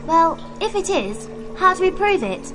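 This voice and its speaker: A high, lively English schoolgirl witch, clever and earnest. She explains things in a bossy way in a game cutscene, with music underneath. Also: unhurried, full character voice. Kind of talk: video game cutscene acting.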